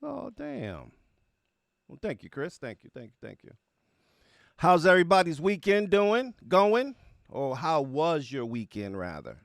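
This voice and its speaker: A man's voice: fairly high pitch, wildly swooping pitch, measured pace, gravelly, dry recording, studio quality. A man hosting a livestream, chatting casually and slangily as he greets viewers by name. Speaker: livestream host of music reaction show.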